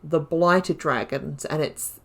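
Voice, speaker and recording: A woman's voice: deep voice, takes it slowly, creaky, fairly bright tone. A woman hosting a gaming video, reading lore aloud and adding informal, self-correcting asides. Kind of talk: reading game lore aloud with casual commentary.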